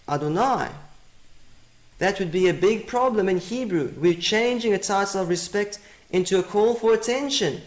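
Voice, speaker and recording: High-voiced man, Afrikaans native, roomy echo. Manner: methodical, repeats key points. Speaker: presenter. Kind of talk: teaching presentation.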